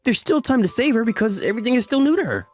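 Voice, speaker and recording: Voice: medium pitch, big pitch swings, very fast. A performer voicing a caring, teasing young uncle in a romantic comedy manga story, mixing narration with dialogue in a mild manner. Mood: matter-of-fact narrating. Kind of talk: anime-style recap narration and dialogue. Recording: music under the voice. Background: background music.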